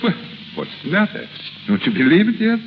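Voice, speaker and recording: A man's voice: sits high, big pitch swings, brisk. An actor playing a devoted, eager wealthy businessman in love, effusive and adoring, in 1930s radio drama style. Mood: tender, devoted. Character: devoted, eager shipping magnate, newly divorced man. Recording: noisy 1930s radio recording.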